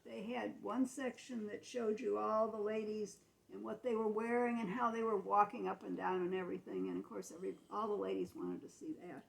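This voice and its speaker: A woman's voice: mid-range voice, steady tone, brisk, creaky, low-quality recording. An American woman in her eighties telling childhood memories to family in a casual, explanatory, matter-of-fact way. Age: 80s. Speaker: grandmother recalling her childhood.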